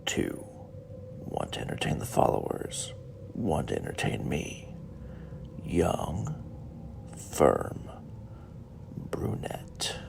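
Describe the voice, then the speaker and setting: A man playing a sinister, lecherous cult leader in an audio drama, slow, commanding and menacing. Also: a bass voice, huge pitch swings, full character voice. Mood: gloating, predatory. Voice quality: very gravelly, breathy growl. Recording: clearly noisy background.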